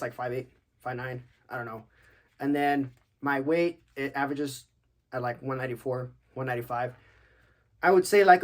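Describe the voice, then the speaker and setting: A young man from Texas with a slightly high voice chats as a podcast host. He takes listener questions in a loose, joking manner, peppered with slang and swearing. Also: fairly bright tone. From United States, Texas. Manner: laid-back, slangy, profane, joking. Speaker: podcast host, active-duty military. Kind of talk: casual Q&A podcast chat, answering listener questions.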